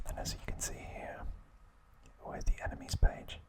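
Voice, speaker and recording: A man hosting an ASMR video, softly sharing trivia in a chatty, informal, unhurried way. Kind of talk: whispered ASMR trivia narration.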